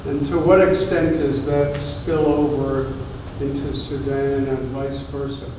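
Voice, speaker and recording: Slow, loud man heard from far off. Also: mid-range voice, flat delivery, background noise. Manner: loud voice, speaking without a microphone. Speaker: audience member asking a question. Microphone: distant room microphone. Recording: distant voice in a reverberant hall.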